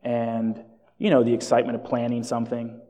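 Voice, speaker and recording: A man's voice: medium pitch, completely flat delivery, fairly quick, mellow. A man born in Jamaica and raised in South Florida, preaching a sermon and telling stories with self-deprecating asides. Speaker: pastor. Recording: long hall reverb.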